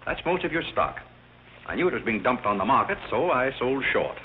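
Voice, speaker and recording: An actor playing a composed, wise, courteous butler who speaks with measured, understated politeness in a 1930s-style film comedy. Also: male voice, medium pitch, very animated, lightly acted. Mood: deferential, assured. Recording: tinny, hissy old film soundtrack.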